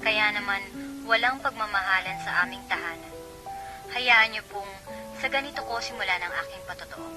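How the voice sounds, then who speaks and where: A woman's voice: medium pitch, moderate pace, close to an everyday voice, poor audio. Woman acting sorrowful testimony over prominent music. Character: sorrowful female letter writer giving her testimony. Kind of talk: dramatized first-person radio testimony.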